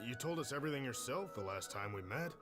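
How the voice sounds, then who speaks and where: A welcoming villager and family man played by a male actor in a game. He speaks at a middle pitch, earnest and courteous as he chats, with faint music underneath. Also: expressive, fast talker, close to an everyday voice. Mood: earnest, concerned. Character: friendly, grateful villager, husband and father. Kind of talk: game voice acting, storytelling dialogue.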